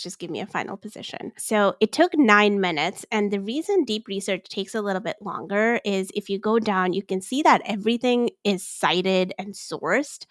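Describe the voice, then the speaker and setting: A woman's voice: medium pitch, no echo. A woman gives a casual walkthrough as a finance expert, with a mid-range voice and a dry, close sound. She talks informally and conversationally, often voicing example instructions and questions as she explains. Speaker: finance expert giving a software tutorial.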